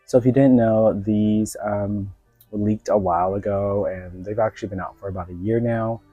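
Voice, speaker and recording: Mellow-voiced man with a cold. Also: on the low side, fairly even intonation, moderate pace, no room sound, lo-fi sound, soft background music. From United States. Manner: rambling, many filler words. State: sick with a cold. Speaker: doll reviewer. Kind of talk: casual vlog chat.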